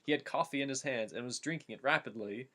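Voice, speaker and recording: A young adult man doing a casual, comedic dramatic reading, halting and stumbling over names as he goes. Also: medium pitch, sharp tone. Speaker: amateur story reader.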